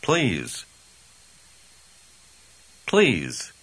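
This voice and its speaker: A man models phrases for a language course, pronouncing each one very slowly and clearly. His voice is fairly low but bright and forward. His intonation swings widely. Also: huge pitch swings. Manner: deliberate, clearly enunciated. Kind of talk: scripted phrase repetition.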